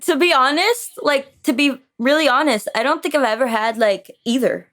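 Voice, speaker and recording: A woman from Montreal whose first language is French talks as a podcast guest. Her voice is on the higher side, and her style is casual and conversational. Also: normal speed, fairly bright tone. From Montreal, Canada. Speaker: podcast guest, singer-songwriter. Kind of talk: casual chat.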